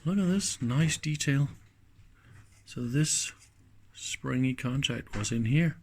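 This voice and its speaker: Slow-paced man thinking aloud. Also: mid-range voice. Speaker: host of an electronics teardown video. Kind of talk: casual hands-on commentary. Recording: clicks and faint background noise.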